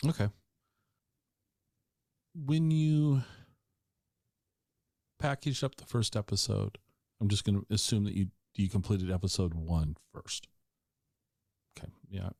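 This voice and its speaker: Man asking halting questions, dry sound. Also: lowish voice, vocal fry, darkish tone, dry recording. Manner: hesitant questioner, restarts questions. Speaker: podcast host. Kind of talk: casual interview.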